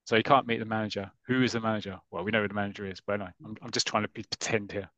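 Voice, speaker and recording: A man's voice: fairly low pitch, fairly even intonation, rapid-fire, pushed and bright, no room sound, muffled recording. A man hosting a podcast, casually retelling a comic story with lots of ums and restarts. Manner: rambling, lots of ums and restarts, self-mocking.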